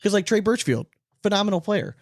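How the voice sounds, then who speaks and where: A man's voice: high-pitched, expressive, fast, no echo. Emphatic man talking sports, close dry sound. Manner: emphatic, opinionated, swears casually. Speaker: podcast co-host. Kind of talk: casual sports podcast chat.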